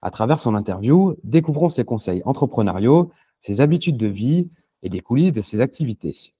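Male interviewer with an average-pitched voice; slightly dull, lo-fi recording. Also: animated, normal speed, warm tone, slightly rough. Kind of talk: reading scripted interview questions.

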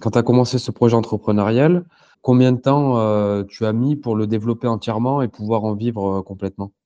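A man reading scripted interview questions at an even pace. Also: fairly low pitch, brisk, highs cut off.

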